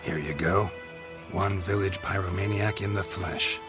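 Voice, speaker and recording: A man playing a gruff, stoic monster hunter in a video game, terse and deadpan, with a low gravelly voice. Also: unhurried. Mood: dry, sardonic. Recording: music behind the voice.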